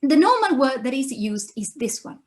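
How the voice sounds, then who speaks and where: A woman with a clear Italian accent teaches a beginner class over a webcam from home. Her voice sits high, and she takes her time, spelling out each sound patiently so that learners can repeat after her. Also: big pitch swings, normal speed. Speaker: university Japanese lecturer. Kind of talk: recorded online lesson.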